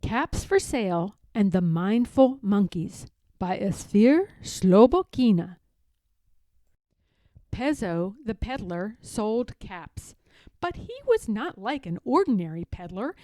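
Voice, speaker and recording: A woman narrating a children's storybook aloud in an expressive, deliberate way. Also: medium pitch, dry recording.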